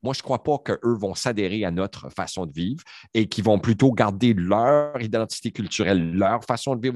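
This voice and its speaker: A man's voice: medium pitch, a little mellow, no echo, clean studio sound. A man, giving his opinions on a panel in a rambling, emphatic way with many fillers. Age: 40s.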